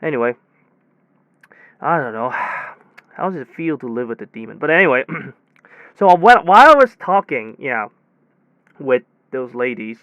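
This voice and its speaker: A dull, close and dry recording of a high-pitched male technician played by a man in an audio drama. He rambles in a rattled, conversational way, stumbling over himself, repeating words and correcting what he just said. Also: a bit bright, clear, resonant tone, dry recording. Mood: musing, hesitant. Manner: halting, repetitive, full of false starts and self-corrections. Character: rattled, rambling young technician retelling a bizarre demon encounter. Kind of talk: hobby audio drama monologue, conversational retelling.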